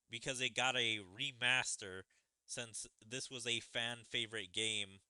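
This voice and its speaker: A man's voice: mid-range voice, pushed and bright, clean tone, dry recording, studio quality. A man streaming a video game, giving casual running commentary and speculating aloud as he plays. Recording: game music behind. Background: video game music.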